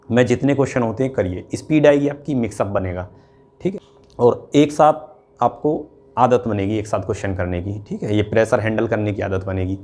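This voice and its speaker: A man's voice: fairly low pitch, a little mellow. A man giving informal instructional advice as an exam coach, explaining step by step and often checking in with short tag words. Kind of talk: informal instructional talk to students.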